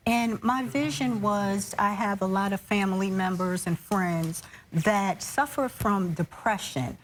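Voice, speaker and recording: Slow-paced woman playwright in an interview. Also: medium pitch, slight background noise. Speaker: playwright and producer being interviewed. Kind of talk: earnest interview explanation.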